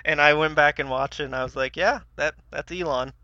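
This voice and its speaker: A man's voice: fairly high pitch, fairly quick, pushed and bright, dry recording. A man co-hosting a podcast in loose, conversational chat, on a slightly dull close recording. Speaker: podcast co-host. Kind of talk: casual chat about video games.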